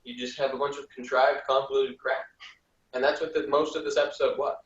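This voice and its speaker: A man's voice: mid-range voice, flat delivery, fairly quick. A man chatting casually as a reviewer, giving blunt, informal opinions. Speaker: podcast reviewer. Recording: dull, roomy, distant mic.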